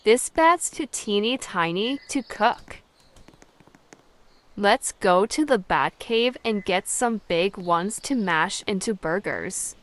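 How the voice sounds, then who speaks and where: A woman voicing an excitable, scatty but kindly witch with emphatic, exclamatory delivery in a storybook reading. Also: medium pitch, takes it slowly, pitched above the performer's own voice. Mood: brisk. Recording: clear background noise.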